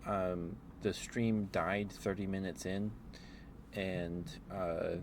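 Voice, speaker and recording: Male ASMR livestreamer, very low register, slow rambling delivery with hesitant pauses, casual chat. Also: little pitch movement, background noise.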